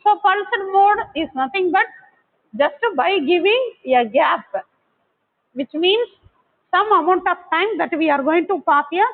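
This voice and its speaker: Very high-voiced woman lecturer, phone-like sound. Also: lively intonation, measured pace. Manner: didactic, poses questions then answers them. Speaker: college lecturer. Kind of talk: explanatory technical lecture. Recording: thin, phone-like narrow-band audio.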